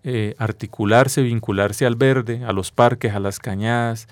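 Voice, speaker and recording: A man's voice: medium pitch, fairly even intonation, a little slow, dry recording. Colombian man explaining, dry close sound. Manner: didactic, often checks with a tag question. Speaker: biologist, interview guest. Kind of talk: conversational explanation in an interview.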